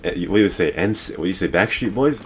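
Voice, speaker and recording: A very low-voiced man hosting a casual podcast, going off on a rambling rant. He swears freely and hammers his points home, circling back to repeat himself. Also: lively intonation, quick pace, a little hiss or hum. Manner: rambling, profane, emphatic. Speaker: host.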